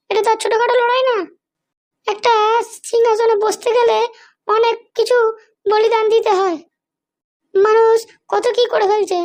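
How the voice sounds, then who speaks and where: A performer voicing a scheming, power-hungry madam in a comedy cartoon, with a high childlike voice and a bossy, threatening manner. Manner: bossy, threatening, self-justifying. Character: scheming, power-hungry madam, ruthless mother seeking political power.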